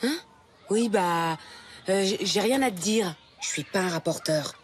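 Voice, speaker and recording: A woman voicing a defiant, gruff schoolboy in a French children's cartoon, with a brusque, slangy, defensive manner. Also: medium pitch, unhurried, a little hiss or hum, natural voice. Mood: flustered, defensive. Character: defiant, gruff schoolboy who loves birds.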